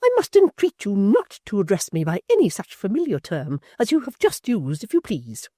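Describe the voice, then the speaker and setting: A woman reading an audiobook, voicing a haughty, indignant middle-aged lady with a shrill, theatrical, slowly emphatic scorn. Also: huge pitch swings, warm tone, no room sound, clean studio sound. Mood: scornful. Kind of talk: audiobook character voice.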